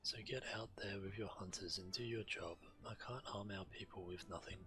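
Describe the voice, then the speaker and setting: A man's voice: lowish voice, moderate pace, sharp tone, faint music behind. A man voicing a frustrated walrus-folk hunter in a fantasy game, urgent and accusing. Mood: reproachful, urgent. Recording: background game noise.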